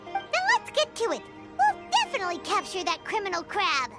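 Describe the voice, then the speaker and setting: A little fairy companion from a video game, chirpy and eager. The voice is childlike and extremely high, bouncing all over in exclamations. Loud game music plays behind it. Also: female voice, huge pitch swings, a little fast, heavily acted. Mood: eager, confident. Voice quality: squeaky, childlike.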